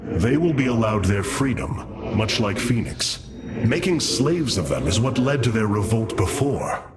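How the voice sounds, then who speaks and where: An actor playing a noble, stern alien commander in a video game cutscene, speaking in a formal, solemn and authoritative manner. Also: male voice, fairly low pitch, big pitch swings, measured pace, heavy background noise. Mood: earnest, reflective.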